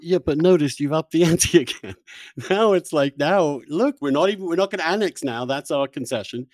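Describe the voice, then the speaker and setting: A man's voice: fairly high pitch, moderate pace, dry recording, clean studio sound. A man hosting an argumentative political conversation, blunt and insistent, often stammering into restarts as he presses his points. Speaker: podcast host and interviewer.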